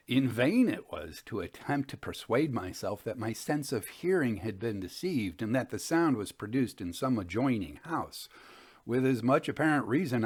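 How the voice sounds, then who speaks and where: A man narrates a Victorian ghost story in a voice of middling pitch. His delivery is formal and measured, like scripted storytelling, yet highly animated, with wide rises and falls in pitch for dramatic effect.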